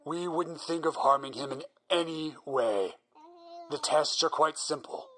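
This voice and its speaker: A man's voice: on the high side, fairly bright tone. A man playing a driven, obsessive scientist. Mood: polite. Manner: earnest, persuasive, measured. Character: driven, single-minded male scientist. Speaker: researching psychologist. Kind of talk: audio drama acting.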